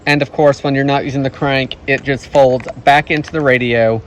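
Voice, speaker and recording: A man's voice: mid-range voice, fairly even intonation, normal speed, slight background noise. A man giving a casual product review, explaining features plainly, step by step.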